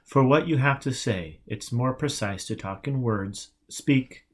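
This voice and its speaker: A calm male mystic teacher as a man reads him aloud in a storybook. He speaks in a mid-range voice with short, instructive commands. There is a teasing edge to his guidance. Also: medium pitch, unhurried, a little hiss or hum, lightly acted.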